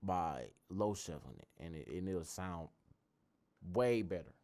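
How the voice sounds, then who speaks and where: A man with a bassy voice talking loosely through a casual tutorial, often restarting his sentences. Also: animated, unhurried, darkish tone. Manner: loose, rambling explanations with frequent restarts. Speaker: tutorial host. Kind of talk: casual screen-recorded tutorial.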